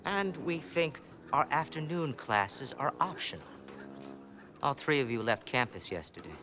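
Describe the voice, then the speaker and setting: An actor playing a petty, strict school principal who dislikes children, speaking in a clipped, sardonic, menacing manner in a screen comedy-drama. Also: male voice, medium pitch, expressive, unhurried, lightly acted. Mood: sardonic reprimand.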